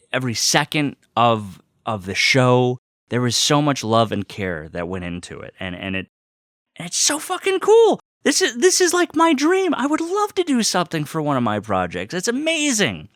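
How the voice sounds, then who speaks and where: A man's voice: on the low side, a bit bright. A man chatting casually and very enthusiastically as a vlog host, gushing like a devoted fan, repeating himself and swearing freely. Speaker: host of a personal video series. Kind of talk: casual unboxing chat.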